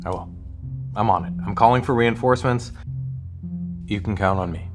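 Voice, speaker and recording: A man's voice: fairly low pitch, moderate pace, music in the background, natural voice. A man playing a flustered, apologetic colleague with a crush in an audio drama, stammering and rambling nervously. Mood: apologetic, defensive. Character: flustered, apologetic, eager-to-please male department colleague with a crush.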